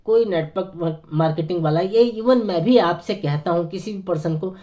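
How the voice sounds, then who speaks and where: A hoarse man with a sore throat, talking earnestly to camera as a network marketing consultant, persuading with many hesitant fillers. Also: high voice, moderate pace, warm tone. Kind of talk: persuasive motivational talk to camera.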